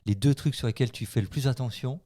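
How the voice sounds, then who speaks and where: Warm-voiced man musing at length. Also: medium pitch, fast talker. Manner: thoughtful, explains at length with stumbles. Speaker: co-host. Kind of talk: casual chat.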